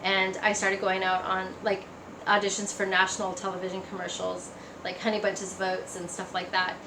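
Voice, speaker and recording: A woman's voice: mid-range voice, completely flat delivery, normal speed. A woman giving a personal testimony in a casual, conversational storytelling way. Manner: conversational, acts out remembered remarks. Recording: echoey room, faint background noise.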